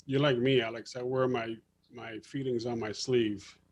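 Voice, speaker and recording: A man in a casual chat, talking excitably with stammering restarts. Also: mid-range voice, normal speed.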